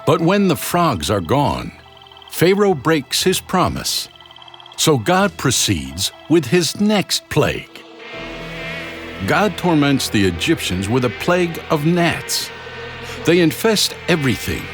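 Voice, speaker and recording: A man narrating a scripted children's story in a dramatic, expressive way. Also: fairly high pitch, slow pace. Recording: loud music behind the voice. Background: music.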